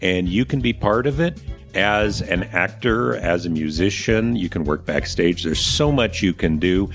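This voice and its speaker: A man's voice: low voice, a little slow, a bit bright, faint music behind. A man hosting a casual talk show about local happenings, speaking in a plain-spoken, encouraging way. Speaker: radio show host. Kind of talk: casual theater chat.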